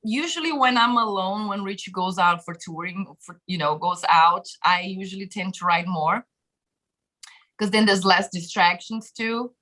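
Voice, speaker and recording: A woman's voice: mid-range voice, moderate pace. A woman in her thirties with a Brazilian accent, chatting casually as an interview guest over a video call from a home room, laughing often and filling with "like" and "you know". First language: Portuguese. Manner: animated, laughs often, many fillers. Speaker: guest musician. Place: bedroom home studio, indoors. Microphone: webcam video call.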